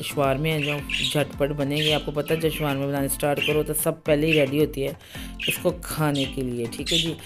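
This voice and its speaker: A woman, hosting a home cooking channel, talking through a recipe in a chatty voice-over full of fillers. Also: deep voice. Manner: chatty, repeats "ठीक है जी", many fillers.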